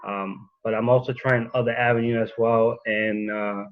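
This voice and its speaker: A man who coaches fitness, sharing casually on a video call, halting with many ums and uhs. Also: on the low side, level tone. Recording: dull lo-fi call audio.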